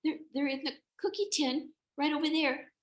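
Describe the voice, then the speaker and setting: A woman voicing an excitable, trusting little goat kid in a storybook tale, exclaiming eagerly. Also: moderate pace, reverberant, lightly acted. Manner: high, eager, indignant exclamations.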